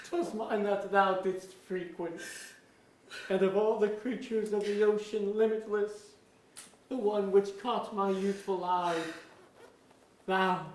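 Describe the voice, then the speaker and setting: An actor playing a defiant, lovesick young elf-blooded ruler in a staged reading of an archaic verse drama. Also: male voice, sits high, fairly even intonation, slow pace, long pauses. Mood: anguished, tender. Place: museum auditorium, staged reading. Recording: echoey auditorium stage.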